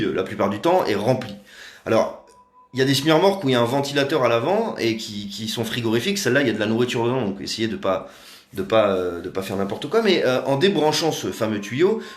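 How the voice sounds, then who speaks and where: A man with a fairly low voice rambles through a casual live video, full of hesitations and sarcastic asides. He speaks from a room at home, and the sound bounces around an echoey space. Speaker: activist livestreamer.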